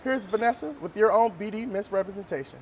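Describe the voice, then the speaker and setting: A man's voice: sits high, expressive, fast. A young man presenting a student newscast, reading his lines from a script. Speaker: student news anchor.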